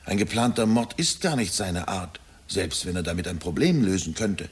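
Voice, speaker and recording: A man's voice: low-pitched, animated, moderate pace, husky, heavily acted. An actor playing an anxious, evasive middle-aged husband and father in a German radio drama, hesitant and confessional. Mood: anxious, confiding.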